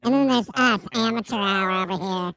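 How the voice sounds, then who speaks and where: A man putting on a high, snooty voice and speaking in a mocking, sarcastic way. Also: brisk, vocal fry, bright, forceful tone, no room sound, exaggerated voice.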